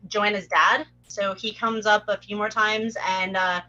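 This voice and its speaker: A woman's voice: medium pitch, fairly even intonation, unhurried, sharp tone, dull audio. A woman chatting casually in an explanatory way on an online group call. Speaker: participant helping run an online script table read.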